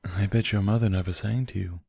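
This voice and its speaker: A man's voice: deep voice, steady tone, a little fast, creaky, mellow, husky, no echo, clean studio sound, exaggerated voice. A man voicing an affectionate, folksy female pony in a story reading. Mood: sad, tender. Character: sad, affectionate female cartoon pony with banshee lore.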